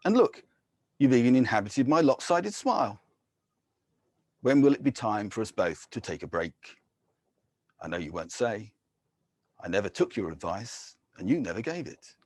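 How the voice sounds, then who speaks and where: An English man giving a measured poetry reading, with soft, hesitant asides between poems. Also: mid-range voice, no echo, studio quality. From England. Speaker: poet.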